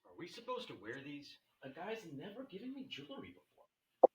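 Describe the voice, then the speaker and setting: Man playing young male patient, table-read drama. Very husky and breathy, cocky, wry, suggestive. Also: high voice, sing-song, fairly quick, dark tone, exaggerated voice, low-quality recording. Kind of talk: amateur screenplay table read.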